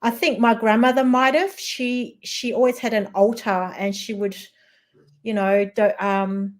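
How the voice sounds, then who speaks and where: Hesitant woman from Singapore, fairly high voice. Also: fairly even intonation, a little slow. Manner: hesitant, many fillers and restarts. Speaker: guest. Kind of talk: casual chat.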